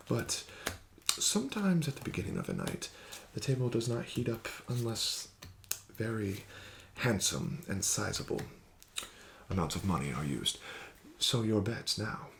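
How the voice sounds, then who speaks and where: Male ASMR casino dealer: unhurried, lilting and smoothly persuasive, with a polite formal delivery and frequent whispers. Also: lowish voice, huge pitch swings, slight background noise. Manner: formal, courteous, gently coaxing dealer patter. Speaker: casino card dealer in a roleplay. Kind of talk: ASMR roleplay, soft-spoken with whispered phrases.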